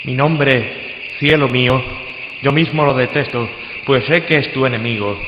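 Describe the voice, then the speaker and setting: A man's voice: medium pitch, a little slow, dull audio, natural voice. A man playing a bold, earnest young lover with poetic, declamatory delivery in a classical radio play. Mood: ardent, earnest. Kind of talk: live radio play, classical romantic drama. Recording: noisy echoey hall with crickets.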